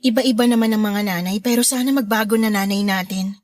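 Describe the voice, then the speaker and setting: A woman with a Filipino accent playing a protective, caring older sister and young mother in a radio drama, concerned and gently scolding. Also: medium pitch, a little fast, no echo, studio quality, close to an everyday voice. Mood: earnest advising. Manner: concerned, scolding on brother's behalf. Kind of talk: Tagalog radio drama acting.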